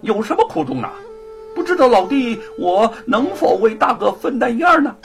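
A man's voice: very high voice, big pitch swings, normal speed, gravelly, soft background music, pitched above the performer's own voice, heavily acted. A male storyteller voicing a witty, carefree young scholar who talks in a light, teasing way. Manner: breezy, teasing.

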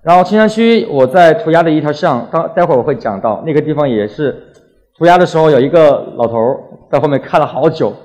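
A man's voice: fairly high pitch, lively intonation, fast, a little mellow. Young man telling stories on stage. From Wuhan, China. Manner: colloquial, acts out little exchanges. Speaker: graffiti artist giving a talk. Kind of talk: casual stage talk, anecdotal storytelling.

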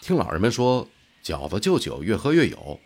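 An actor playing a casual, curious older brother in a science fiction radio drama, speaking colloquially and offhand. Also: male voice, lowish voice, wildly swooping pitch, normal speed, noisy background. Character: casual, curious man, big brother in sci-fi future.

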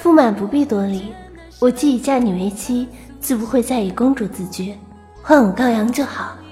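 A woman's voice: fairly high pitch, normal speed, dark tone, close to an everyday voice. A woman playing a proud, wilful young princess wounded in love in a Chinese historical audio drama. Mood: composed. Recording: long reverb, faint music behind.

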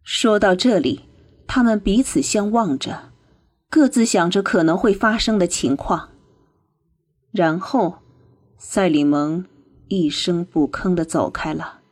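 A woman reading scripted narration for a radio drama in an expressive storytelling manner. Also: lowish voice, slow pace, pauses often.

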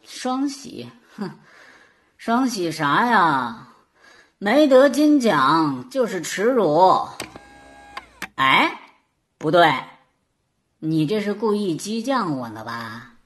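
A woman plays a bright young boy in an audio drama, cocky and competitive. The delivery is unhurried and exclamatory, with big swings in pitch. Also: sing-song. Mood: sulky, proud. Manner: exclamatory, bantering.

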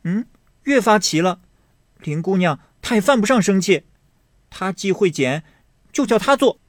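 A man voicing a lively, outspoken teenage girl in an audiobook reading of a classic novel, quick and teasing in a raised voice. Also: no echo, studio quality. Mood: teasing, indignant. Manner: quick, teasing, pitched high.

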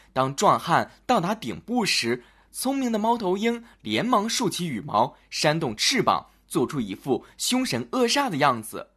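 Brief: narrator for children's fairy tales, dramatic and highly animated, with some huskiness in the voice. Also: breathy edge. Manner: expressive, dramatic delivery for children. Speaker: children's storyteller. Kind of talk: scripted fairy-tale narration.

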